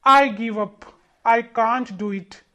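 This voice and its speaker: A woman's voice: fairly high pitch, slow, pushed and bright, dull audio, pitched below the performer's own voice. A woman voicing a proud, boastful male wind in a children's storybook, with a challenging manner. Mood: defeated.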